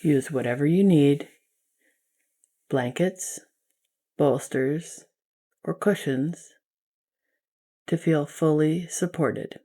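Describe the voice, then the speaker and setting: A woman leading a guided yoga nidra meditation as a teacher, giving gentle, soothing instructions. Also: sits low, extremely slow, long pauses.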